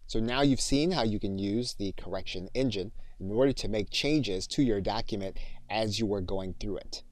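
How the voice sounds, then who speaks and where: Man, lowish voice, tutorial narration, deliberate phrasing, dry close-mic sound. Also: no echo. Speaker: software instructor. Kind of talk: scripted screen tutorial narration with spoken dictation commands.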